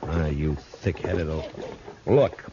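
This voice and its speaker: Deep-voiced frontier marshal. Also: male voice, takes it slowly, dark tone, slight background noise. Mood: stern. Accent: American. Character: firm, dry, no-nonsense frontier marshal, male. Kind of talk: old-time radio western acting and narration. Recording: muffled old radio recording.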